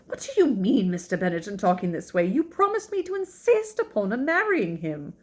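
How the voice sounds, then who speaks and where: A woman's voice: medium pitch, big pitch swings, fairly quick, darkish tone, close to an everyday voice. A woman voicing a flustered, melodramatic middle-aged mother from a classic novel, insistent and full of exclamations. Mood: indignant.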